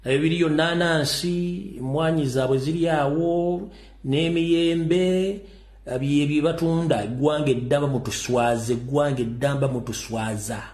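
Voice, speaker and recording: A man's voice: a little high, fairly even intonation, a little slow, a little mellow, faint music behind. A Ugandan man hosts a live stream, his voice carrying an echo off the room around him. He talks emphatically and hammers his points home through repetition. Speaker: online commentator.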